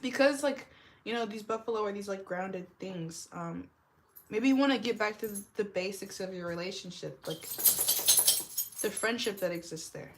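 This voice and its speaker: A young woman with a deep smoker's voice, giving a casual tarot reading and rambling with lots of "like". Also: moderate pace, noisy background. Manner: rambling, heavy use of "like", laughs often. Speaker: tarot reader.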